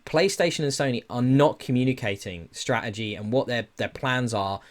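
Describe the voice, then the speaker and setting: A man's voice: medium pitch, normal speed. Blunt man hosting a podcast. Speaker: podcast host. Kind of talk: casual gaming podcast chat.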